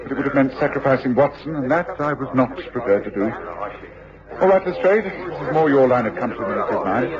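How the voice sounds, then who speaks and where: A man's voice: medium pitch, expressive, brisk, a crowd in the background, close to an everyday voice. Male actor, English radio detective, clipped, commanding, reasoning, muffled echoey vintage recording. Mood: cool, resolute. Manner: clipped, urgent, authoritative. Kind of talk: 1950s-60s British radio drama acting. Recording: echoey, dull, noisy old radio recording.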